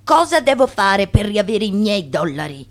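Plaintive anxious woman, played by an actress, animated. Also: mid-range voice, moderate pace, close to an everyday voice. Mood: anxious pleading. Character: frail, anxious small depositor, plaintive woman. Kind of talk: radio drama acting.

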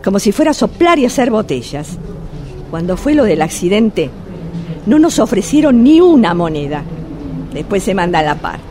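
An actress playing an exasperated, sarcastic blind street vendor in a radio drama, with a Rioplatense Argentine accent. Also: female voice, mid-range voice, big pitch swings, moderate pace, close to an everyday voice. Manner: complaining, sarcastic, emphatic. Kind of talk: radio drama monologue as phone call. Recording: loud subway train noise throughout. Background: subway ambience.

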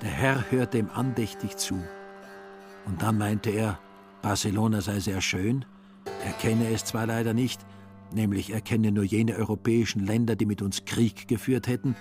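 A man's voice: lowish voice, fairly even intonation, normal speed, darkish tone, soft background music. A man narrating a literary radio play in a scripted, dryly ironic storytelling manner.

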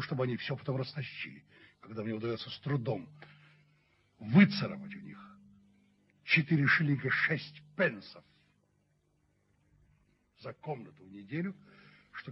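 An actor in an old radio play playing a dignified, self-righteous middle-aged landlord who speaks in measured, rhetorical phrases. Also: male voice, mid-range voice, close to an everyday voice. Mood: self-justifying, firm. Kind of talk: 1970s Soviet radio play acting. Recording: muffled old radio recording with noise.

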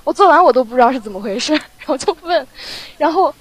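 A woman's voice: high-pitched, animated, quick pace. A woman chatting casually, stammering a little and repeating words as she goes. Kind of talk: casual chat. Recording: noisy home mic with clicks.

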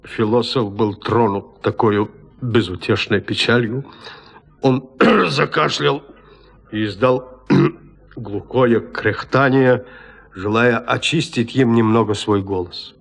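A man narrating classic literature in an expressive, deliberate, theatrical manner. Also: medium pitch, creaky. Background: music.

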